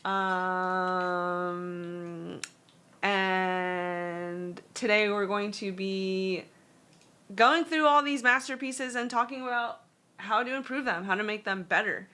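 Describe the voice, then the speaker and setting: Slow, chatty woman streaming. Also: medium pitch, bright, forceful tone, clean tone. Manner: chatty, loose, reads and translates viewer text; halting non-native Spanish. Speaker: stream host. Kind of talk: casual livestream art critique chat.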